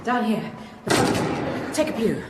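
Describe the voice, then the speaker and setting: Slow, high-voiced skeptical woman acted, echoing. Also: big pitch swings. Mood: wary. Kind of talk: audio drama acting. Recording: echoing space, clear background noise.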